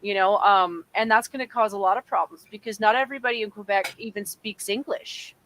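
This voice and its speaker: A woman's voice: mid-range voice, brisk, a bit bright, poor audio. A Canadian woman chatting casually on a podcast, rambling with lots of fillers and restarts. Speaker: podcast co-host. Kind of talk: casual chat.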